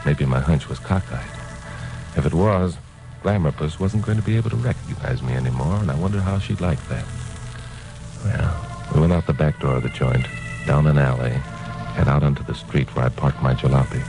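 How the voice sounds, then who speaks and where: Deep-voiced, wisecracking American radio sleuth. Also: male voice, normal speed, poor audio. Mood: wry narration. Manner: breezy, wry, slangy. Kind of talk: hard-boiled old-time radio narration and dialogue. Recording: old radio drama with music behind.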